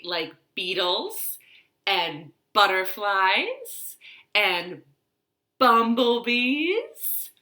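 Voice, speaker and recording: Slow, bright-voiced woman hosting children's storytime. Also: on the low side. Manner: slow, simple, encouraging phrasing for young children. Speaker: children's storytime host at a library. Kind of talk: children's storytime with rhymes and read-aloud. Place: living room at home. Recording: roomy, echoing home room sound.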